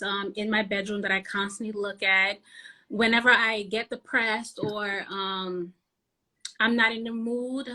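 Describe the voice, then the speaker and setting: A woman's voice: medium pitch, bright, forceful tone, poor audio. A woman in her thirties chatting casually as a beauty guest, candid and earnest in how she talks. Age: 30s. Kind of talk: casual chat.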